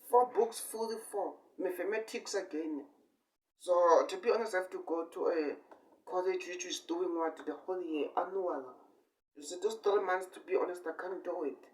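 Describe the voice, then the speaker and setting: The recording is distant, with the microphone set away from the speaker. He is a young man with a fairly high voice for a male. He talks in an improvised, rambling way, halting between short phrases, repeating himself and leaning hard on certain words. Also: darkish tone, a little hiss or hum. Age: 20s. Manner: halting short phrases, repetitive, emphatic bursts. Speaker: amateur online speaker.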